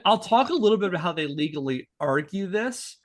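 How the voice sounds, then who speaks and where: A man hosting a podcast, telling a researched story to friends in a partly scripted, measured way. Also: sits high, lively intonation. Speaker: podcast host.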